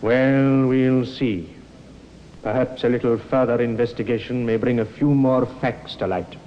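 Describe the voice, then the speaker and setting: An actor plays a shrewd, fatherly male barrister with a medium-pitched voice. He speaks formally and deliberately in a reverberant room, on a muffled old radio drama recording. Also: fairly even intonation, slight background noise, close to an everyday voice. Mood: paternal, sceptical. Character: avuncular, shrewd gentleman defence barrister. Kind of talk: 1950s radio drama acting. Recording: echoey, dull vintage radio recording.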